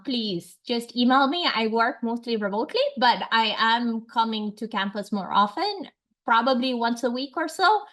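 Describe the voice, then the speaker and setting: A woman's voice: fairly high pitch, fairly bright tone. A woman from Tanzania speaking earnestly and reflectively at a faculty seminar. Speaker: faculty researcher. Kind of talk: seminar talk. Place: seminar room.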